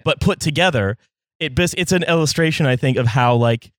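A man co-hosting a casual podcast, explaining a topic in halting sentences full of restarts. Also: a little high, a bit bright, dry recording, clean studio sound. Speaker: podcast co-host explaining the news.

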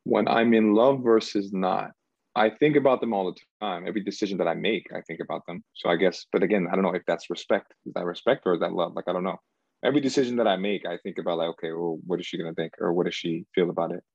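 A man from Cameroon in his thirties, a guest in an interview, talking things through slowly and hesitantly, full of "like" and restarts. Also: sits low, fairly even intonation, vocal fry, a little mellow, breathy edge, no echo, muffled recording. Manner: searching, hesitant, repeats himself and says "like" often. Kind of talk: reflective conversational interview.

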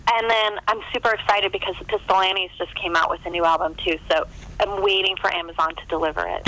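A woman with a bright, forward voice speaks as an author being interviewed. She chats casually and keenly, with an enthusiastic, talkative manner. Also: medium pitch, lively intonation, brisk, low-quality recording. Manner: chatty, enthusiastic about books. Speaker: guest author.

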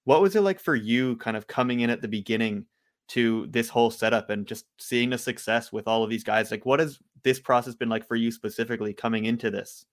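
A man's voice: mid-range voice, fairly quick. A man co-hosting a soccer show, asking loose, rambling questions. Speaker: co-host. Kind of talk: conversational interview questions.

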